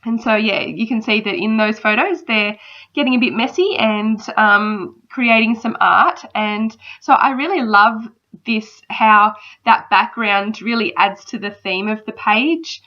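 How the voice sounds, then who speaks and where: A woman's voice: on the high side, unhurried. A woman in her thirties with a slight cold, chatting casually in a voice-over. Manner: chatty, relaxed, frequent ums. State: battling a cold. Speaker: crafter narrating a process video. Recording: slightly dull lo-fi sound.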